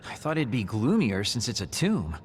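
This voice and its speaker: A man's voice: medium pitch, wildly swooping pitch, normal speed. An actor playing a laid-back, chatty young male teammate in a video game, casual in delivery. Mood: easygoing. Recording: long echo, faint noise.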